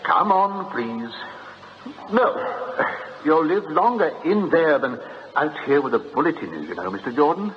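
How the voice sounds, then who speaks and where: A man's voice: a little high, big pitch swings, a little slow, dull audio, noisy background. An actor playing a polite, amused armed robber who taunts his hostages in a radio drama. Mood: smug. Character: suave, mocking male bank robber with a gun.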